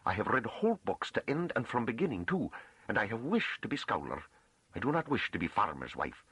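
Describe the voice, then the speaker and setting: A man voicing a timid yet fierce young peasant farm woman for an audiobook, breathy and earnest, in rustic broken speech. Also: on the low side, very animated, brisk, fairly bright tone, no room sound, voice pushed up, full character voice.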